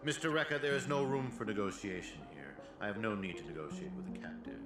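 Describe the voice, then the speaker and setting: An actor playing a cold, condescending monkey professor villain, in a video game. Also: male voice, lowish voice, fast talker, natural voice. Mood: threatening. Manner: condescending, menacing. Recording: roomy game audio with loud music.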